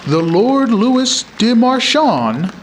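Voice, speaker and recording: Through clear rain-like noise, a pompous herald of lords and ladies. His voice is high and sweeps widely in pitch. The delivery is stately and drawn out, as in a ceremonial radio drama. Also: sing-song, heavily acted. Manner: stately, drawn-out formal introductions. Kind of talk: audio drama ceremonial announcement.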